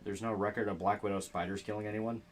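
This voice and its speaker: A low-voiced man banters in a relaxed, wry way as co-host of a game stream. A faint hiss of noise sits under his voice. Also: quick pace. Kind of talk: casual game stream chat.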